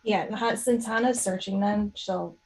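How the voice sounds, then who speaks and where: Woman player, raspy medium voice, loose conversational delivery. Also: mid-range voice, unhurried. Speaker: player in a tabletop role-playing game. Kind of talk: casual chat.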